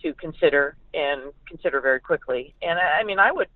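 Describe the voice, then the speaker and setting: A woman's voice: low voice, expressive, fairly quick, no room sound. A woman with an American accent giving emphatic, opinionated sports analysis as a journalist guest, over a phone-like line. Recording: phone-like narrow-band radio sound.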